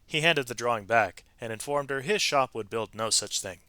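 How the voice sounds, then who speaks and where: A man reading a storybook aloud to students in an expressive, emphatic way, with brief explanatory asides to his listeners. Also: medium pitch, moderate pace, dry recording, studio quality. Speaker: read-aloud narrator, teacher reading to students.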